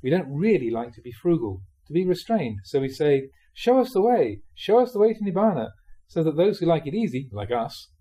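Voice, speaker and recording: A man reading Buddhist teachings aloud like a monk giving a dharma talk, with measured, emphatic phrasing. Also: a little high, big pitch swings, warm tone.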